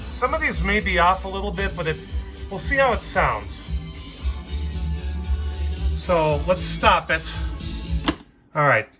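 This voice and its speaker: A man giving a casual hands-on equipment demo, explaining things informally and thinking aloud as he goes. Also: a little high. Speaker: vintage audio hobbyist presenter. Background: music playing.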